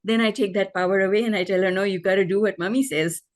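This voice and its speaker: A middle-aged woman from Pakistan, a guest on a podcast, telling her life story in relaxed conversation and laughing often. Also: mid-range voice, quick pace. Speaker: guest, life coach and author.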